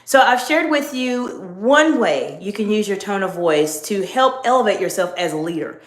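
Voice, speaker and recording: A middle-aged woman coaching viewers on leadership in a live stream, speaking in a measured, emphatic teaching tone. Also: mid-range voice, expressive. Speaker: executive coach. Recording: echoey room.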